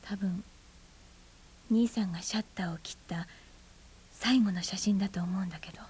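An actress in her forties playing a brooding, bitter aunt who is a schoolteacher, speaking softly and often in a half-whisper in a radio drama. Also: mid-range voice, normal speed, slightly rough. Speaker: high school teacher.

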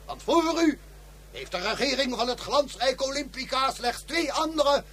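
A pompous high-voiced orator. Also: male voice, animated, moderate pace, bright, forceful tone, a little hiss or hum, heavily acted. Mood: solemn. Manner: ornate, solemn speechmaking. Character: pompous long-winded male official, middle-aged. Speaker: dignitary giving a ceremonial speech. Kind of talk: radio play acting.

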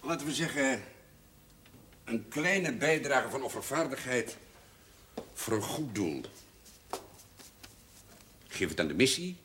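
An actor playing a haughty older notary in a television period drama, formal and condescending, on an old soundtrack. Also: male voice, mid-range voice, lively intonation, slow pace, long pauses, a bit bright, slight background noise. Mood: smooth, condescending. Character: haughty, indignant older male notary, smoothly manipulative.

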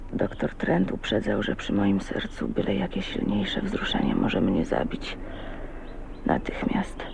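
An actress playing a shy, troubled young wife in an emotional radio drama with intimate inner monologue. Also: female voice, low-pitched, vocal fry, heavily acted. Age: young adult. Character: shy, troubled young wife of twenty-nine, brooding on her fate. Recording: muffled, noisy 1980s radio recording.

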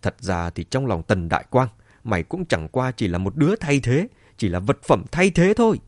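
A man voicing a bitter, cruel middle-aged mother in an audiobook, shouting spitefully and defiantly. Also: medium pitch, very animated, quick pace, husky, no room sound, clean studio sound. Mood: venomous.

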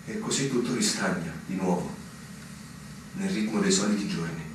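A man's voice: low voice, moderate pace, close to an everyday voice. Man acting anxious young lieutenant, very echoey. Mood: subdued, restless. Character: anxious, yearning young male lieutenant at a lonely fortress. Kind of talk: theatrical radio drama acting. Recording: very echoey, distant, noisy stage recording.

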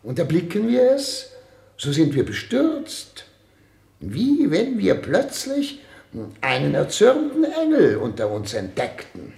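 An imperious, haunted ruler in a radio drama, brooding and agitated. He speaks very slowly, with wide swings of pitch, in a roomy echo. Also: wildly swooping pitch, vocal fry, a little mellow, full character voice. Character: anxious, sleepless, brooding male head of state. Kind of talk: German radio play acting.

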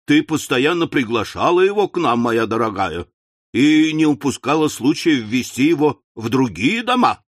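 A man voicing a meek, henpecked magistrate who speaks softly and placatingly to his wife. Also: medium pitch, big pitch swings, normal speed, no echo, clean studio sound. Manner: placating, soft-spoken.

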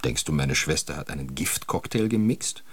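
A man's voice: a bass voice, lively intonation, normal speed, fairly bright tone, husky, no room sound, exaggerated voice. An actor playing a troubled, hard-drinking man in a radio drama. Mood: weary, dry. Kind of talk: German radio drama acting.

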